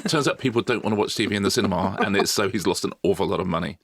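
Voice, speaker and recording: A man's voice: deep voice, racing, a bit bright. A middle-aged man with an English accent, co-hosting a podcast in casual, jokey chat and laughing often. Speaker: podcast co-host.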